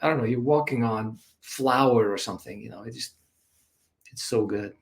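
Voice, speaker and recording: A man from Iceland whose first language is Icelandic chats as a guest on a show. His voice sits at an average male pitch, and his style is informal and conversational. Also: moderate pace, vocal fry. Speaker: interview guest. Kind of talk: casual chat.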